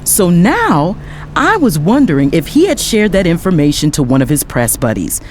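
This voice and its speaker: Casting: actress as indignant female lawyer narrating an audio drama, lowish voice, highly animated, slight background noise. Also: moderate pace. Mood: tense, determined. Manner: expressive, dramatic. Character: indignant woman lawyer, wealthy politician's daughter, narrating her betrayal. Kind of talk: first-person dramatic narration.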